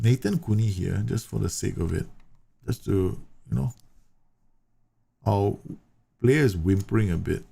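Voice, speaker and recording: Gravelly-voiced man from Singapore. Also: fairly low pitch, dark tone. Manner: chatty, explanatory, with frequent fillers and self-corrections. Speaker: streamer. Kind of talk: live game commentary answering chat.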